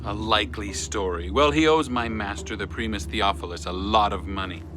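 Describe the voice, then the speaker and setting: A man playing a gruff, bullying Roman soldier in an audio drama, curt and menacing. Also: fairly low pitch, normal speed, noisy background, close to an everyday voice.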